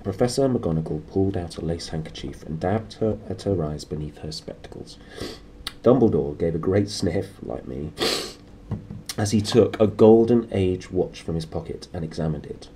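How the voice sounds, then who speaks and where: Low male voice, storybook narration, expressive, casual asides, slightly sniffly, faint background noise. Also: moderate pace. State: sniffly, tickly nose. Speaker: storyteller and reader.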